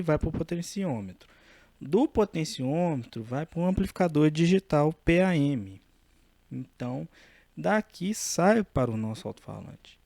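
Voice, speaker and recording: A Brazilian man presents a hands-on technical tutorial. His voice sits at an average male pitch, and he explains each step informally, in a somewhat halting way. The sound is dry, with very little room in it. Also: a little mellow, no echo.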